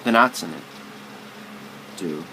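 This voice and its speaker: Man reciting poetry haltingly over background noise. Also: low-pitched, animated, gravelly, sharp tone, rough recording. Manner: theatrical, halting, wordy delivery with stumbles and repeats. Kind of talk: literary spoken-word recitation.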